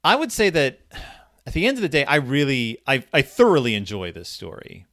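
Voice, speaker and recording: A man co-hosting a podcast and casually discussing a story, with halting phrasing and repeated words. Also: mid-range voice, wildly swooping pitch, fairly bright tone, dry recording, studio quality. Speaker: podcast host.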